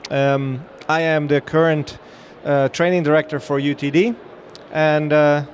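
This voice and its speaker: A man's voice: on the high side, a little hiss or hum. Upbeat Dutch-accented man presenting on a show floor. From Netherlands. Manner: chatty, upbeat, with frequent fillers and restarts. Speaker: dive training director giving a trade-show talk. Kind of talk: live presentation to an audience.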